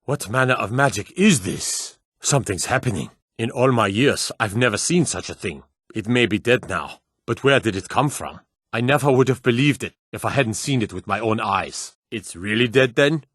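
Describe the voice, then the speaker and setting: A man's voice: mid-range voice, animated, normal speed, few pauses, a bit bright. A man playing a stern, wary medieval city guard in a fantasy video game, with an authoritative, curt way of speaking. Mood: awed. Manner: authoritative, curt, clipped commands.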